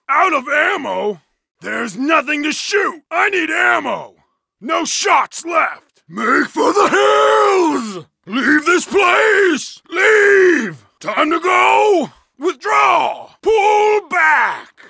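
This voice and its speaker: An actor playing a savage bearded medieval berserker in a game, barking battle commands in a gravelly, raspy roar. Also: male voice, extremely high pitch, pushed and bright, no echo, studio quality, exaggerated voice. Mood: bloodthirsty roar. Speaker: game soldier character.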